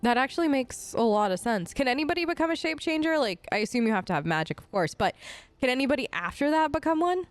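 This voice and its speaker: A woman's voice: high voice, animated, quick pace, dry recording. A woman improvising casual in-character chat for a role-play let's play, informal and slangy. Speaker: gamer role-playing her elf character.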